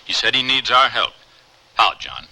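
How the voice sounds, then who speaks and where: An actor playing a worried, urgent scientist colleague in a radio drama. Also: male voice, medium pitch, big pitch swings, moderate pace, gravelly, sharp tone, exaggerated voice, low-quality recording. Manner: urgent, concerned.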